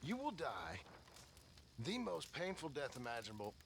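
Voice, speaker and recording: An actor playing a cranky, melodramatic old American cowboy uncle with a gravelly voice in a video game. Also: male voice, mid-range voice, wildly swooping pitch, moderate pace, fairly bright tone, full character voice. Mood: bitter, ranting.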